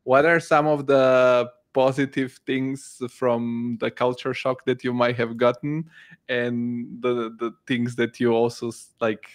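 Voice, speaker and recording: रुक-रुककर बोलता बल्गेरियाई पुरुष मेज़बान. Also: male voice, medium pitch, flat delivery. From Bulgaria. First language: Bulgarian. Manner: halting, searching for words, many restarts. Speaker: podcast host. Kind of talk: interviewing.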